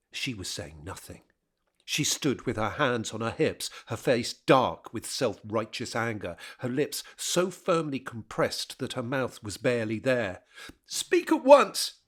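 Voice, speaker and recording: A man narrating a scripted period drama in a measured, rhetorical manner. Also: mid-range voice, husky.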